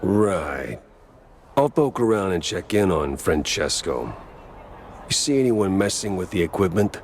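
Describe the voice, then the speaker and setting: An actor playing a hard-boiled, world-weary private detective mouse in a noir game, deadpan and sardonic. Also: male voice, low-pitched, a little slow, a little hiss or hum, full character voice. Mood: dry, weary. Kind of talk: noir game narration and dialogue.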